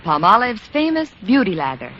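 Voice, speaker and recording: A woman's voice: medium pitch, big pitch swings, moderate pace. A woman with an American accent reading an enticing old-time radio commercial as spokeswoman. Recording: muffled old radio broadcast, faint noise.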